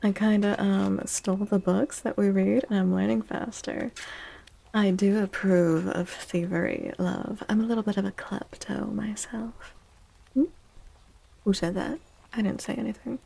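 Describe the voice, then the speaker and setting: Woman, soft-spoken ASMR, creaky, relaxed tone, playful tarot-reading chat. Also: mid-range voice, unhurried. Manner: playful, teasing, mock-dramatic.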